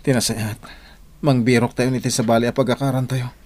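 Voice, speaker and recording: An actor playing a humble, law-abiding labourer husband in an Ilocano radio drama. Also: male voice, mid-range voice, level tone, fairly quick, darkish tone, natural voice. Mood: worried, resigned.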